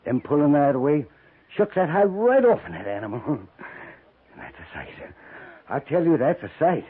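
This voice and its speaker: An actor playing a coarse, boastful buffalo skinner in a 1950s radio western, talking in a rough, folksy, rambling frontier manner. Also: male voice, on the high side, big pitch swings, normal speed, narrow, tinny audio. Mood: gleeful boasting. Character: boastful, earthy old-West buffalo skinner, coarse and relishing. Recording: thin, band-limited 1950s radio recording.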